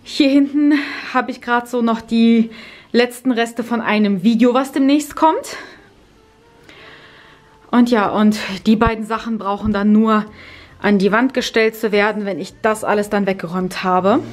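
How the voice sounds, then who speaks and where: A woman's voice: on the high side, moderate pace. A woman in her thirties walking through her home on camera, chatting casually about what she has tidied. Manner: chatty, explaining as she walks around. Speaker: home vlogger. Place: bright modern home, indoors.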